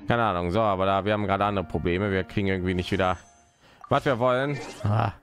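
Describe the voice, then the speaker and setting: A man's voice: low voice, quick pace, a bit bright, soft background music. A man, streaming a game and rambling casually, muttering to himself as he plays. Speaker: live streamer. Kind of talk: casual gaming stream commentary.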